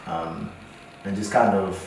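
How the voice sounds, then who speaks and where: Man in his thirties, very deep voice, thoughtful and hesitant delivery, recorded off-mic in a cavernous, echoey room. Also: takes it slowly, gravelly. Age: 30s. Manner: thoughtful, hesitant "um"s, steady affirmations. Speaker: football coach, podcast co-host. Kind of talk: casual group discussion. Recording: echoey room, distant mic, faint noise.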